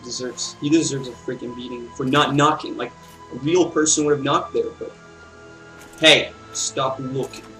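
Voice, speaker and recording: A man's voice: mid-range voice, fairly even intonation, moderate pace, sharp tone. A man giving casual, informal let's play commentary with reactive asides. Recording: slightly distant mic, game music behind.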